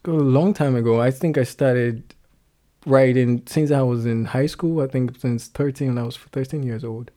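A man's voice: mid-range voice, dark tone. Young Nigerian-accented man, casual guest, frequent laughs and self-corrections. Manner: laughs often, halting restarts. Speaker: guest musician. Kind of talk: casual interview chat.

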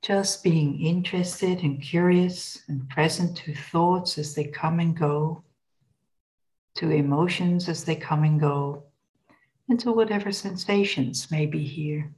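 Woman, meditation guide, low pitch, very slow pace, gentle instructional delivery. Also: low-quality recording. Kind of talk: guided body scan meditation.

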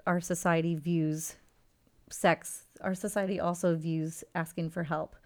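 A woman therapist speaks in a low, smooth, resonant voice. She talks earnestly and with emphasis, agreeing readily with the others as she explains her work. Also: flat delivery, a little slow. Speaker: therapist and guest.

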